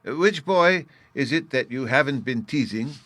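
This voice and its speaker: A man's voice: medium pitch, expressive, a little slow, pushed and bright, voice pushed up. A man reading aloud, voicing a mild, puzzled old professor in a storybook.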